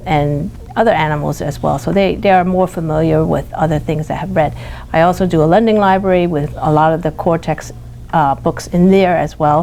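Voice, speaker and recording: Low-voiced woman teacher explaining her practice. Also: creaky, a little mellow, slight background noise. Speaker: classroom teacher being interviewed. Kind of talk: interview answers about teaching practice.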